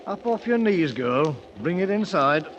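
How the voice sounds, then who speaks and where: A brash British adventurer with a high voice that leaps up and down as he blusters and gives orders. He talks in soldier's slang, boastful as a self-made king, on a noisy old 1950s radio broadcast. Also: big pitch swings, measured pace, muffled recording. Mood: curious, gruff. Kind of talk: 1950s radio drama acting.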